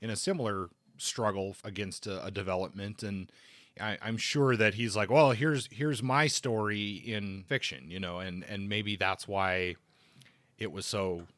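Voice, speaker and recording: A man co-hosting a podcast, casually discussing books in a halting way, often rephrasing as he thinks aloud. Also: on the low side, steady tone, a bit bright, no room sound, studio quality.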